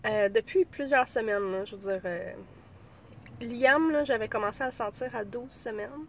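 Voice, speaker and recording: A woman's voice: on the high side, moderate pace, slight background noise. A woman in her thirties with a Québécois French accent talks casually from inside her car. She has a cold and sounds a little stuffy. She rambles and restarts, with plenty of fillers. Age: 30s. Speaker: pregnancy vlogger. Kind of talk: casual vlog chat.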